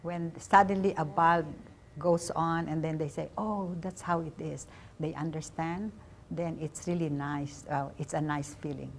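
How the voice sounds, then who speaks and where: A woman college instructor speaking in interview style, halting and repeating words as she goes. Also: sits low, steady tone, vocal fry, slight background noise. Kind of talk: interview testimonial.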